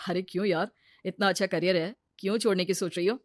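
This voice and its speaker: Supportive young husband done by a woman: calm, reassuring, closely recorded without room sound, story dialogue. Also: lowish voice, fast, dry recording, clean studio sound, voice pushed down, close to an everyday voice.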